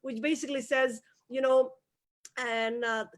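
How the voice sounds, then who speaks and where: High-voiced woman. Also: fairly even intonation, a little slow. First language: Somali. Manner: emphatic, repeats key phrases for emphasis. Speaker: guest, business owner. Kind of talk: motivational interview talk.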